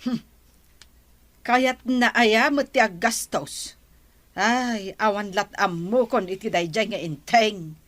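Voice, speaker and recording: A woman's voice: mid-range voice, slow pace, close to an everyday voice. A woman playing a wry, gossipy, nagging village auntie in a comedic radio drama.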